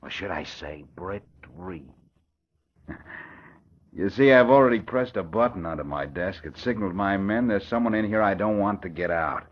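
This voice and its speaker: A man's voice: deep voice, lively intonation, moderate pace. An actor playing a smug, bullying nightclub crime boss in an old radio drama, with brusque tough-guy talk. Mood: smug, gloating. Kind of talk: 1940s radio acting. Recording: dull old radio recording.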